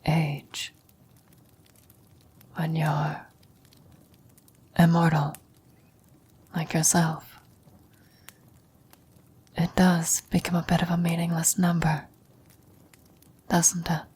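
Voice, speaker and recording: A woman playing a frail, dying old woman in a whispered ASMR roleplay, gentle, wistful and philosophical, speaking slowly and haltingly. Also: low-pitched, monotone, a little hiss or hum. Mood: wistful, reflective. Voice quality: breathy whisper. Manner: slow, halting, reflective delivery with long pauses.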